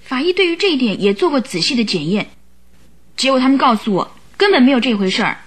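A woman's voice: high-pitched, sing-song, fast talker, husky, full character voice. An actress in a radio drama playing an earnest, troubled young woman who gives careful, emotive accounts. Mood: puzzled, troubled. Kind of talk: old Chinese radio drama acting.

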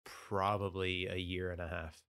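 A man with a low, gravelly voice and a slightly hoarse throat chats loosely as a podcast co-host, tossing out jokes and casual swears. He sits close to a dry, deadened mic. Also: flat delivery, a little slow, fairly bright tone, no room sound. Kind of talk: casual chat.

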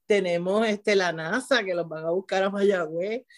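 A woman's voice: medium pitch, moderate pace. Puerto Rican woman, chatty interview guest. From Puerto Rico. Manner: restarts often, frequent "¿verdad?" tag. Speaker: guest, teacher and author. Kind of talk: conversational interview.

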